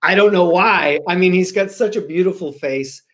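A man's voice: high voice, moderate pace. A man in his forties with an American accent, chatting casually and playfully as a guest on a video call, rambling as he tells stories. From Rhode Island, United States. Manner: animated, gesturing, playful, rambling. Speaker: guest author and screenwriter. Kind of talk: casual storytelling in an online interview. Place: small home room, indoors. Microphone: computer mic on a video call.

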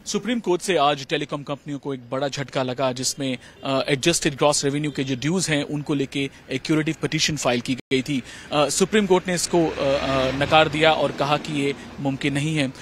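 A young man reporting outdoors in a city with a handheld mic, explaining the story in a slightly halting way. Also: on the high side, steady tone. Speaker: field reporter. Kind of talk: news report. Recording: faint street noise. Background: traffic and city ambience.

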